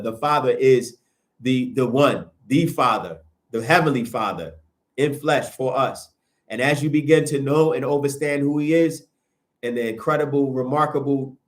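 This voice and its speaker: A man hosting a livestream, preaching in a casual, animated way and answering viewer comments as he goes, with a sniffly nose. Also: mid-range voice. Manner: animated, preachy, rises sharply when emphatic. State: sniffling from sinuses. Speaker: livestream host.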